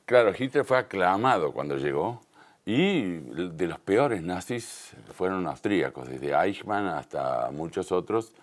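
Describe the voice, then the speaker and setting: A man with a fairly deep voice and a Rioplatense Spanish accent speaks as a guest in a television interview. He explains his points conversationally and with emphasis, sometimes rephrasing mid-sentence. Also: animated, a little slow. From Uruguay and Argentina, Río de la Plata.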